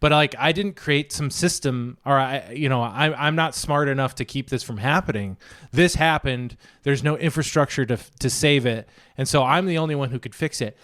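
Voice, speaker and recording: A man's voice: medium pitch, normal speed, a bit bright. Rambling, joking podcast co-host man. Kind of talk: casual chat.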